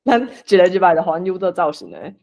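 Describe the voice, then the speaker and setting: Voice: mid-range voice, sing-song, fairly quick, creaky, a little mellow. A host with a Taiwanese accent, moderating a casual discussion and inviting others to share.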